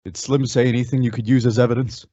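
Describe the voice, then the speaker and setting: A teenage man playing an earnest, measured masked cowboy hero in an old-time western radio drama. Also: medium pitch, flat delivery, dark tone, dry recording, natural voice. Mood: determined. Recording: dull, dry lo-fi radio recording.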